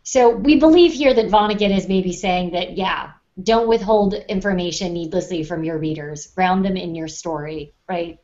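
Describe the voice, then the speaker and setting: A woman's voice: fairly low pitch, normal speed, hardly any pauses, mellow. A woman co-hosting a casual podcast, explaining her points plainly and conversationally. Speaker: podcast co-host. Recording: dull, muffled lo-fi sound.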